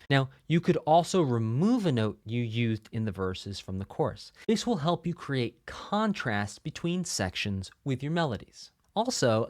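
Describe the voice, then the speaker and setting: A man's voice: mid-range voice, dry recording, studio quality. A man giving an explanatory music theory lesson as a video host, talking in a conversational, emphatic teaching manner.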